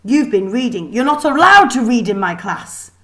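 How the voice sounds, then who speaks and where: A woman reading a storybook puts on a strict middle-aged schoolmistress. She is imperious and scolding, with a raised, high-pitched voice that barks at her pupils. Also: big pitch swings, moderate pace, a bit bright. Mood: angry scolding. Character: strict, bellowing middle-aged female deportment teacher.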